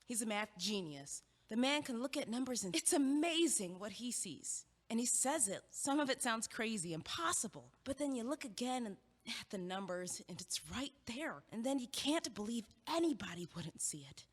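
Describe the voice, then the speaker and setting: A woman's voice: fairly high pitch, sing-song, moderate pace, slightly rough. A woman playing a blunt, driven young college student in an audio play, with a sardonic, emphatic delivery. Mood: frustrated, determined. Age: young adult. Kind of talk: stage-style audio play dialogue. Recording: echoey hall with long reverb.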